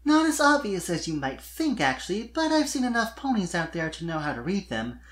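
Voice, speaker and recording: A performer voicing a kind, perceptive young female unicorn pony in a soft, earnest way for a cartoon fan story. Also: mid-range voice, sing-song, a little fast, pitched above the performer's own voice. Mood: gentle, knowing.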